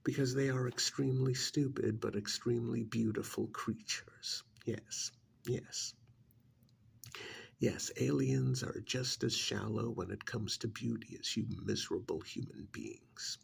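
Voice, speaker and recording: A man playing an arrogant, contemptuous ancient alien in an ASMR roleplay, speaking in a gravelly, breathy half-whisper with deliberate, condescending pauses. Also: medium pitch, heavily acted. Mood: smug contempt. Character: arrogant ancient alien stranded in a human body, contemptuous and menacing.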